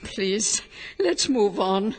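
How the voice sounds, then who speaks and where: An actress playing a modest older wife in a radio drama, tender and full of wonder. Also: female voice, mid-range voice, lively intonation, very slow. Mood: shy, marvelling. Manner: tender, marvelling, self-deprecating.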